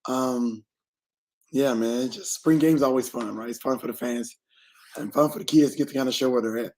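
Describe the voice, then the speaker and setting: A man co-hosting a sports talk show, laid-back and plain-spoken, badly hoarse. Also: mid-range voice, normal speed, a little mellow, low-quality recording. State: hoarse, voice nearly gone. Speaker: football coach co-host.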